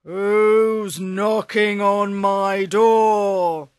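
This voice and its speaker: A woman voicing a big, gruff male ogre who speaks slowly and threateningly in a storybook reading. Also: steady tone, dry recording, clean studio sound. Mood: menacing. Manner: slow, booming and threatening.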